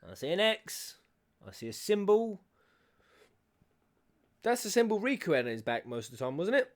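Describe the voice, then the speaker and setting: A man hosting a reaction video, excitable and swearing, shouting at the screen and at times dropping to a whisper. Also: high voice, moderate pace. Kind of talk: live reaction commentary. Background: game cutscene audio and music.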